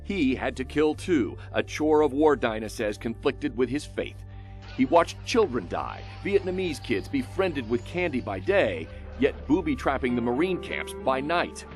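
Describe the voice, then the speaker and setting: Dramatic American male storyteller, very expressive pitch. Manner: dramatic pauses, short emphatic phrases. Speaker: news reporter narrating a feature story. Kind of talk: scripted narration, dramatic human-interest storytelling. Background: music under the voice.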